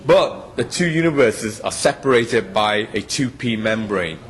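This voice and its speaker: A man's voice: mid-range voice, lively intonation, a little slow. A middle-aged man, grew up in England, explaining his theory at length as an interviewee, full of hesitant fillers and restarts. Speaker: physicist interviewee.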